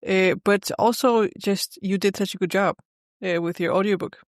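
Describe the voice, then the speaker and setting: A podcast co-host asks an interview question in a halting way, full of "uh" fillers. Their voice sits at a middle pitch. The sound is dry and close. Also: steady tone, dry recording.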